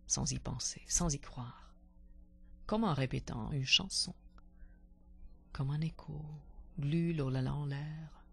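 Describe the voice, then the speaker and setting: A woman reciting poetry expressively, with deliberate dramatic phrasing and a husky, breathy voice that can sink toward a whisper. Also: low-pitched. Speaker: poetry reader.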